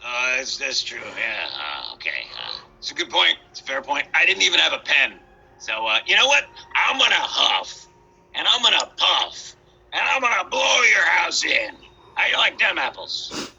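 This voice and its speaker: A man playing a hungry, hapless Big Bad Wolf in a comic children's story, hesitant and rambling with deadpan asides. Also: on the high side, normal speed, vocal fry, husky, dull audio, full character voice. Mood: sheepish, then threatening.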